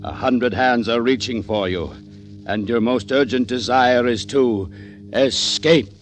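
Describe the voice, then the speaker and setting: An actor playing a menacing suspense narrator who speaks deliberately and dramatically straight to the listener in a 1940s radio drama. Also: male voice, medium pitch, natural voice. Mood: ominous. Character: ominous suspense-show narrator addressing the listener directly. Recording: vintage 1940s radio recording, music underneath.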